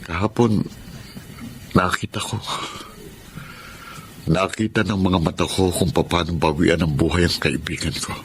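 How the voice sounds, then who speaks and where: An actor with a Filipino accent playing a remorseful young petty thief from a slum in a radio-style drama. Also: male voice, low-pitched, fairly even intonation, unhurried, long pauses, slight background noise. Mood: grieving, somber.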